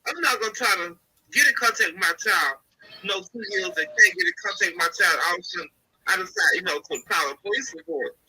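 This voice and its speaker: A woman calling in to a live show, congested and soft-spoken, talking hesitantly with many fillers. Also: lowish voice, expressive, fast, breathy edge, rough recording. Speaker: caller. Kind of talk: casual phone-in chat.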